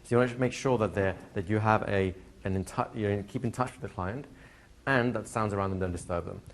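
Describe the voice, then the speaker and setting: A man teaching a hypnotherapy class to a live group, explaining plainly and slipping into slow, soothing hypnotic patter for demonstrations. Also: fairly low pitch. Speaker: hypnosis trainer. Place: training room with a live audience.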